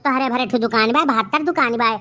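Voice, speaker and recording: A woman's voice: fairly high pitch, fast, pushed and bright. A woman voicing a huffy, haggling village housewife in a cartoon comedy, complaining in an Awadhi accent. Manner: complaining, bickering.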